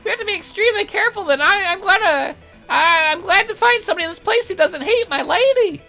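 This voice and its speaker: A man voicing an excitable, devoted bird attendant in a visual novel, flustered and deferential. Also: extremely high pitch, fast, creaky, soft background music, voice pushed up, full character voice, poor audio. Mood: anxious. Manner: flustered, deferential, easily indignant.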